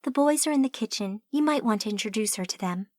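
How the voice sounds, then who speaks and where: A woman voicing a gracious woman of the house with a warm, hospitable manner. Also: a little high, lively intonation, fast, no room sound, studio quality. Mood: welcoming.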